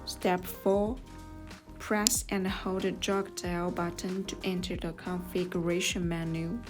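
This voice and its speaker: A woman reading scripted step-by-step instructions as a tutorial narrator, in a measured, neutral manner. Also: fairly low pitch, little pitch movement. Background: music.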